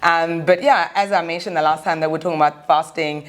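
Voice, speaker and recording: A woman's voice: low voice, fairly even intonation, a little fast. A woman co-hosting a faith podcast, chatting casually and explaining ideas in an upbeat, teaching way. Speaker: podcast co-host.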